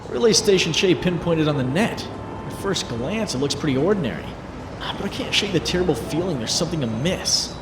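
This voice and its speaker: Guy doing an excitable jokey young superhero, high voice that swings all over, comic dub, noise behind. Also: fairly quick, natural voice. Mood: wry narration. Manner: theatrical, joking, exclamatory. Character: wisecracking young male superhero, chatty, excitable, nervy. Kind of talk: comic dub narration and dialogue.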